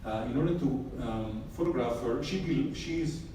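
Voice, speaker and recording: A middle-aged photographer from Israel talks through his portraits in a loose, joking way, full of ums and asides. He is caught from a distance in a very echoey room with clear background noise. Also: mid-range voice, moderate pace, dark tone. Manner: casual storytelling with frequent fillers and wry humor. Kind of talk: artist talk about his photographs. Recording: echoey room, mic far off, noisy.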